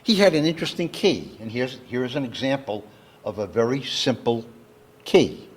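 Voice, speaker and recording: Husky male lecturer explaining step by step. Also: medium pitch, animated. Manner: deliberate, plainly explanatory, defines terms step by step. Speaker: lecturer, engineer. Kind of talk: educational public lecture, explanatory. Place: talk given live to an audience.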